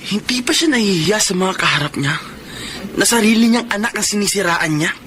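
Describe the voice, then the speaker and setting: An actor playing an earnest young Filipino graduate and dutiful son in a radio drama, speaking sincerely. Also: male voice, high-pitched, expressive, brisk, sharp tone, slight background noise. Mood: resentful. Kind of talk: Filipino radio drama acting and first-person narration.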